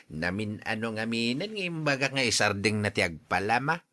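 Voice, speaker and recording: A man's voice: lowish voice, very animated, normal speed, sharp tone, no echo. A man acting a blunt, matchmaking older father in a radio drama, with an Ilocano accent. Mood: teasing, insistent.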